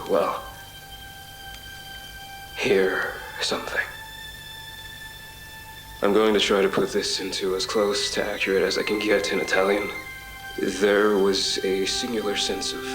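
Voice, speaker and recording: Audio drama, male actor, low-voiced and slow: a shaken, apologetic witness giving hushed, halting testimony of an ordeal. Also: heavily acted. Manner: halting, hushed, breathy pauses, reflective. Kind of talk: intimate first-person audio drama monologue. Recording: roomy echo with music bed. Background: music.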